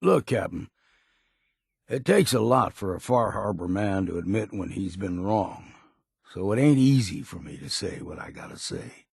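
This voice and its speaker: Gravelly, wry old islander. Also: male voice, low-pitched, sing-song, normal speed, a little mellow, no echo, clean studio sound, full character voice. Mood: sheepish, apologetic. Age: older. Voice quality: gravelly, husky. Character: grizzled old hard-drinking islander companion, wry and gruff. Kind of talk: video game character voice lines.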